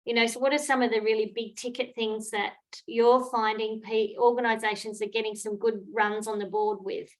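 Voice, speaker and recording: A woman's voice: a little high, little pitch movement, moderate pace, hardly any pauses, poor audio. An Australian woman hosting an online Q&A, speaking reflectively with many fillers. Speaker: host, moderator. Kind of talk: online webinar Q&A. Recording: online call audio.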